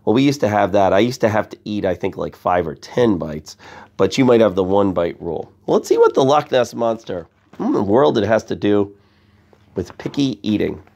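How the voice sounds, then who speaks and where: A man's voice: lowish voice, big pitch swings, normal speed, gravelly, a little mellow. A man reading a picture book aloud to children, expressively, with chatty asides and questions to young listeners. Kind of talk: bedtime story read-aloud with conversational asides.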